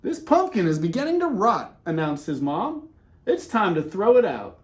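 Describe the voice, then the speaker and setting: A man reading a children's picture book aloud in an expressive way, with dramatic pauses and casual, conversational delivery. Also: high-pitched. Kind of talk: animated read-aloud with casual asides.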